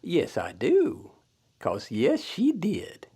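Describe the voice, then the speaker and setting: A man's voice: fairly high pitch, huge pitch swings, drawn-out words, exaggerated voice. A man playing an eager, courteous, folksy assistant to a ghost hunter in an audio drama. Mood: excited. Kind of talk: audio drama character acting.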